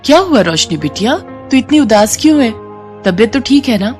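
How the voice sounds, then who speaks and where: A woman's voice: fairly high pitch, big pitch swings, normal speed, faint music behind. A woman as a humble, meek housemaid mother. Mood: caring, worried. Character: humble, anxious housemaid and caring young mother.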